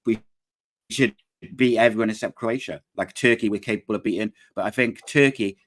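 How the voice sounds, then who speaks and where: A man hosting a casual football podcast, talking in a relaxed, hesitant way that often trails off quietly. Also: fairly low pitch, a little fast. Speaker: podcast host.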